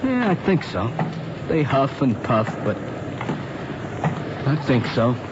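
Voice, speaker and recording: Muffled old radio, deadpan American youth. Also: male voice, medium pitch, slow. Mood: wry. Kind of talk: 1950s radio drama acting. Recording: muffled old radio recording, noisy background.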